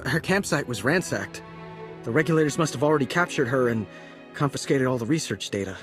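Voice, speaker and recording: An actor playing an excitable, earnest young accountant turned fighter in a video game, eager and breathless in manner. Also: male voice, mid-range voice, fairly quick, music in the background, close to an everyday voice. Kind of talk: video game cutscene acting.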